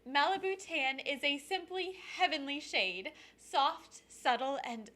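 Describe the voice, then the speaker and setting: A woman's voice: high voice, unhurried, pushed and bright. An actress playing a glamorous, gushing cosmetics saleswoman in a carefully enunciated 1940s radio advertisement.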